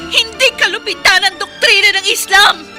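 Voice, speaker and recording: A tearful, impassioned young woman in a radio play. Her voice is very high, and clear music plays behind her. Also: moderate pace. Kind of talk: radio drama acting.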